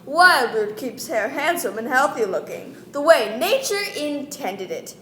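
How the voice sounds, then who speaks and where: A woman's voice: sits high, big pitch swings, unhurried, a bit bright, exaggerated voice. A woman playing a persuasive announcer in an old-time radio hair tonic commercial. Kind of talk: 1940s radio commercial acting. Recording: roomy, slight noise.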